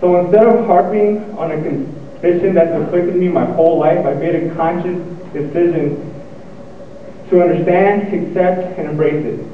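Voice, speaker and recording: Student speaker, young man, stuttering delivery, slightly high voice, heard from far off in a reverberant hall. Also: fairly even intonation, slight background noise. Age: young adult. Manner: stuttering, pausing and repeating words. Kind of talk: motivational speech. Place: lecture hall. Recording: echoey hall, tinny and far off.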